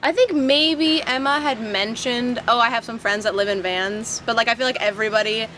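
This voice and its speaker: A woman's voice: on the high side, normal speed, slight background noise. A young woman chatting casually as a podcast guest, telling stories animatedly and acting out quotes with frequent laughs. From Washington DC area, raised abroad. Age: young adult. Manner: animated storyteller, acts out quotes, laughs often. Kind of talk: casual conversation. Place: inside a van.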